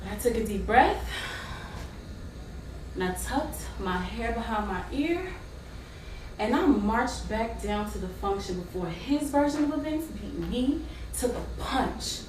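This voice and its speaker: An actress playing a fiery, wronged woman confessing a painful secret in a dramatic, drawn-out stage monologue. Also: fairly high pitch, animated, darkish tone. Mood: tense, resolute. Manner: dramatic, emphatic, drawn-out delivery with long pauses. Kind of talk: theatrical stage monologue with singing. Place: stage in a theater hall. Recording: distant, echoey, noisy stage-play recording.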